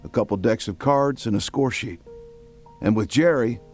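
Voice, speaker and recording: A man's voice: mid-range voice, normal speed, a little mellow, faint music behind. A man hosting and narrating a story in a scripted, conversational style. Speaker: host and narrator.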